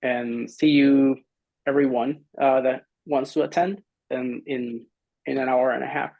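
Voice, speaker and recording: A man's voice: medium pitch, steady tone, vocal fry, no room sound. A middle-aged man speaks informally on a video call. He feels his way through an update, hedging and rephrasing as he goes. The sound is thin and phone-like, with very little room in it. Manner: halting, hedging, loosely phrased. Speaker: grant-funded working group administrator. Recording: muffled video-call audio.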